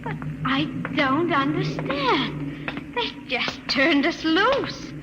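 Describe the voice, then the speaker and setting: A woman's voice: very high voice, sing-song, very slow, over loud music, full character voice. A woman playing a proud, anxious young woman in a 1950s radio drama. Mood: bewildered. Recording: noisy, muffled old-time radio recording.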